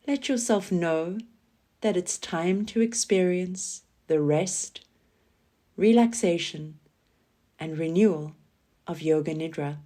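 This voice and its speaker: Slow, mellow woman guiding relaxation. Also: lowish voice. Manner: calm, soothing, gentle instruction with pauses. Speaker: yoga nidra guide. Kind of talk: guided relaxation meditation, scripted.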